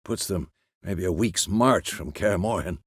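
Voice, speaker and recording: A man playing a gruff, seasoned old monster-hunter mentor in a video game, with gravelly, measured, instructive delivery. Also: low voice, no echo, clean studio sound. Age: older. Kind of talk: video game character dialogue.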